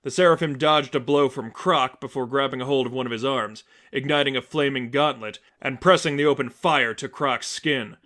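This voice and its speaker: Male story narrator, average pitch, deliberate dramatic delivery for scripted fiction. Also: a bit bright. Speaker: fan fiction reader, narrator.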